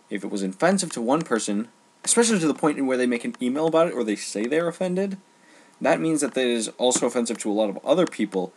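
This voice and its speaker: A man delivering an informal opinion rant, emphatic and halting, often repeating phrases for stress. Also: mid-range voice, a little mellow, slightly rough. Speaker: online ranter.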